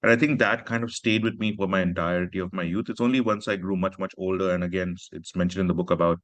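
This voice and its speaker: A man's voice: low-pitched, fairly even intonation, dry recording. A man from Pakistan, an author speaking earnestly in a reflective conversation. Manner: earnest, measured, personal storytelling.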